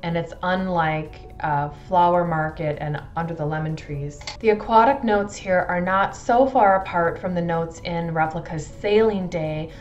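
A middle-aged woman giving a casual product review to camera in a measured, thoughtful way, stressing descriptive words. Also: lowish voice, lo-fi sound, faint music behind. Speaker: fragrance reviewer. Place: indoors in a furnished room at home.

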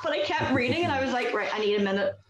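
A woman's voice: on the high side, expressive, very fast, roomy sound, very noisy. A young Northern Irish woman hosting a casual panel chat over a video call, chatty with lots of "like". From Northern Ireland. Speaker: host. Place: small room indoors.

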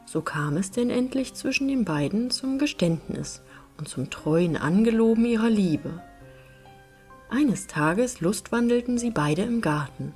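A woman narrating a fairy tale as an audiobook reader, in an expressive storytelling style. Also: medium pitch, unhurried, faint music behind.

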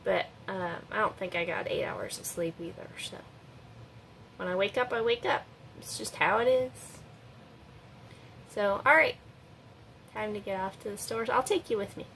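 A woman's voice: mid-range voice, moderate pace, vocal fry, pauses often, fairly bright tone, a little hiss or hum, low-quality recording. A woman, chatting casually to a phone held at arm's length, congested and a little nasal, tired, rambling with lots of fillers. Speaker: vlogger. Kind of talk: casual chat to camera. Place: home kitchen and parked car.

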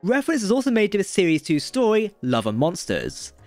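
A man's voice: high-pitched, huge pitch swings, fairly quick, a little mellow, no echo. A man reading a scripted explainer as a video presenter, with sing-song phrasing that drops at the ends of sentences. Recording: dry voice-over with music underneath. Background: background music.

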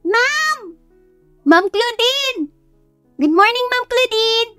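An eager little schoolchild for a romance audiobook. The voice is very high, shrill and thin, chirping with excitement. The sound is dry, with music behind. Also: female voice, extremely slow, no echo, exaggerated voice. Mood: excited greeting.

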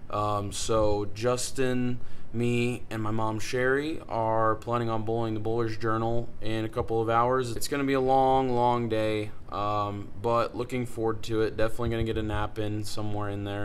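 A man's voice: medium pitch, slight background noise. Chatty young man vlogging on a phone. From Iowa, United States. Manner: chatty, upbeat, many fillers. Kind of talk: casual vlog to camera. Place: hotel room and car. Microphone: phone held at arm's length.

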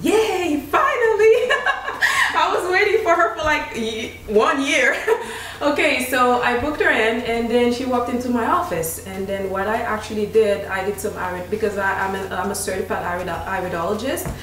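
Haitian-accented woman nutritionist, animated casual talker, a bit off-mic in a roomy kitchen. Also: fairly high pitch, moderate pace, a little hiss or hum. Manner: animated, laughs often, restarts sentences. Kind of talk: casual chat. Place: home kitchen, indoors. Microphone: desk mic on tripod, camera at a distance. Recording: echoey room, voice from a distance.

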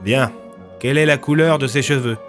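Inspecteur de police las et méthodique joué par un homme. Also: male voice, mid-range voice, brisk, a bit bright, soft background music, natural voice. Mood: businesslike. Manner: probing, short questions. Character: weary, businesslike male police inspector questioning a witness.

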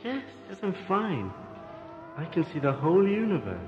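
A dazed, drifting companion acted by a man in a science-fiction audio drama. His slightly high voice wanders in pitch and sounds dreamy and distracted. It rings with long reverb in a dull recording. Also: very animated, normal speed, music in the background. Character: dazed, light-headed male companion, drifting off.

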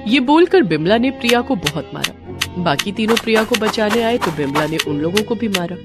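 A woman tells a children's cartoon story with an expressive, dramatic delivery. Her pitch swings in a lively way. A steady music bed plays under her voice. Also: normal speed. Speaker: storyteller voicing every character. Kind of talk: scripted children's story narration.

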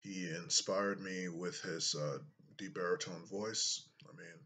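A man in his thirties with a deep voice, talking to his webcam in a casual vlog from a small room, unhurried and thoughtful, with many fillers. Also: steady tone, bright, forceful tone, a little hiss or hum. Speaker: YouTuber.

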